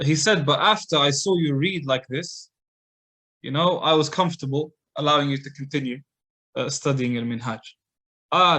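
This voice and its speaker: A man's voice: on the high side, a bit bright. A man giving a mosque lecture, telling a scholar's story conversationally with frequent fillers and restarts. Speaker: Islamic lecturer. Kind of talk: casual lecture.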